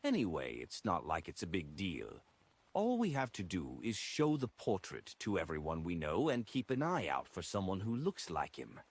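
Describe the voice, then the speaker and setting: A man's voice: sits low, huge pitch swings, normal speed, slightly rough, full character voice. A man voicing a rambling, droning drunkard in an audiobook, sighing over a poor friend. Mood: rambling, rueful. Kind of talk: audiobook character dialogue.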